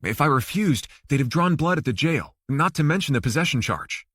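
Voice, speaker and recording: A man's voice: fairly high pitch, animated, brisk, a bit bright, breathy edge, no echo, clean studio sound. A man voicing a cocky, evasive male lawyer in an audiobook, probing with hesitant starts. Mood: defensive. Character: Rafael Suarez, cocky evasive Cuban-American suspended lawyer.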